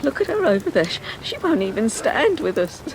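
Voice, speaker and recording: A self-doubting, devoted wife in a radio play. She is plaintive and hesitant, with a fairly high, very mobile voice over light background noise. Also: sing-song, a little fast. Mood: grieving. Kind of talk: audio drama acting.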